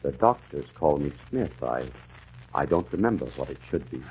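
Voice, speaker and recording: Deep-voiced, troubled English gentleman. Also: expressive. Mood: weak, confused. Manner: earnest, halting and hesitant in dialogue. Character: troubled middle-aged English gentleman recalling his shell-shocked, amnesiac past. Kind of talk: 1940s radio drama acting, dramatic first-person narration. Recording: muffled, noisy 1940s radio recording.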